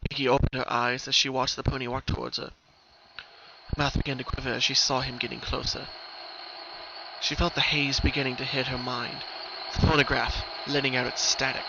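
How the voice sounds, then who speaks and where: A man's voice: medium pitch, level tone, brisk. A man narrating a scripted story in an earnest, theatrical way. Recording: dull lo-fi recording, background noise. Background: noise.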